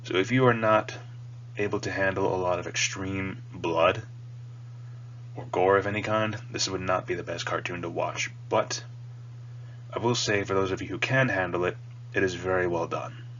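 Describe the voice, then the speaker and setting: A man's voice: sits low, steady tone, normal speed, fairly bright tone. A man with a stuffy, slightly nasal voice from a cold, rambling earnestly and casually as he hosts a review video. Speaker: video host reviewing a cartoon. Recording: dull lo-fi mic with audible hum.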